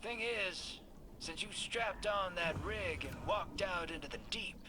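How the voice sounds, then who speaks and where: Roguish man, lively high voice, unhurried, noisy audio. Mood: wry. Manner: wry, drawling, teasing. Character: wry roguish male drifter.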